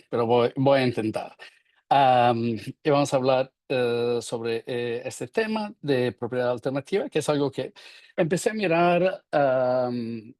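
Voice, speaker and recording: An Italian man with an Italian accent speaks in a webinar, pausing often on fillers while he searches for his words. His voice carries a gravelly edge, and the recording sounds dry and close. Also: mid-range voice, darkish tone, no echo. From Italy. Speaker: guest speaker, professor. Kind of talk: webinar presentation.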